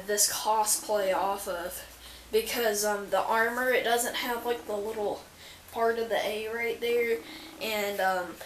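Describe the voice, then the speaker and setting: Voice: mid-range voice, a bit bright. Echoey, enthusiastic casual vlogger voice. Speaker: cosplay vlogger showing homemade armor. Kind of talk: casual show-and-tell chat. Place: indoor room, echoey. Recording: echoey room with faint background noise.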